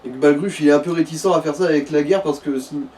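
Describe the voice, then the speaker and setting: Distant, higher-voiced man chatting about gaming. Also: rapid-fire, darkish tone. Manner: sweary, rambling. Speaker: gaming companion. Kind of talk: casual explanatory chat. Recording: distant mic, faint noise.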